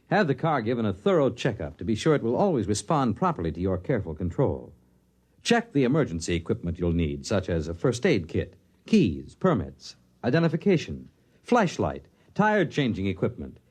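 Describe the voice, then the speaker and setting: A man announcing in 1950s radio style, reading scripted material in a measured, instructive manner. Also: lowish voice, darkish tone. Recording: restored vintage radio recording.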